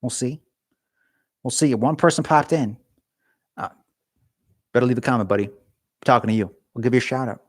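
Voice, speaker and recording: A man's voice: mid-range voice, a little fast, pauses often, no room sound, clean studio sound. A young man chatting casually on a live stream from his garage, rambling and joking with viewers, his voice getting worn. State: losing his voice.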